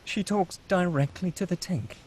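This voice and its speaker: A man's voice: high-pitched, normal speed, darkish tone, slight background noise. A man reading screenplay action and scene headings as the narrator of a table read.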